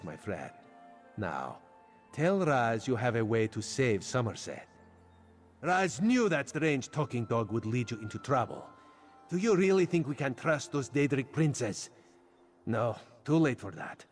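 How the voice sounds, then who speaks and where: An actor playing a wry, loyal cat-man agent in a video game, theatrical, speaking of himself in the third person. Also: mid-range voice. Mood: warm, urgent. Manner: theatrical, refers to himself by name. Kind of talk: video game character dialogue. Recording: background music under the voice.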